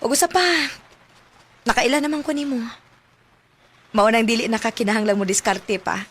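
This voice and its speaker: A woman's voice: fairly high pitch, moderate pace, a bit bright, a little hiss or hum, close to an everyday voice. A woman with a Cebuano accent acting a frank, forward young woman in love in a radio drama. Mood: frank, tender. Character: frank, forward young woman reunited with her old crush.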